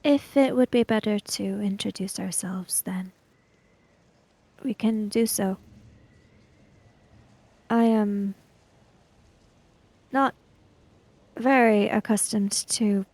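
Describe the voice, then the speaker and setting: A woman playing a tabletop roleplaying game, speaking in character with careful, formal, halting phrases. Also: mid-range voice, darkish tone, dry recording.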